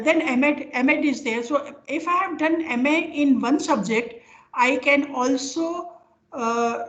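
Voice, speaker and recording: An older woman with an Indian accent giving an informal academic lecture over a video call, speaking haltingly as she explains with examples. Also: high-pitched. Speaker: professor giving an online lecture. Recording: dull, lo-fi online call audio.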